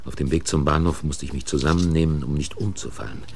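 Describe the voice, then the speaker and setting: Very deep brooding crime-drama narrator. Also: male voice, quick pace, vocal fry. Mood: brooding, tense. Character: shaken but determined office man, narrator turned accomplice. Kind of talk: German radio crime play acting and first-person narration. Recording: noisy vintage radio play recording.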